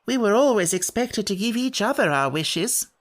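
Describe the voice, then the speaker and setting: A man voicing a cranky, put-upon little furry wish-granting creature from a storybook, with a shrill voice that cracks when worked up. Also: lively intonation, normal speed. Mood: agitated. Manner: indignant, complaining.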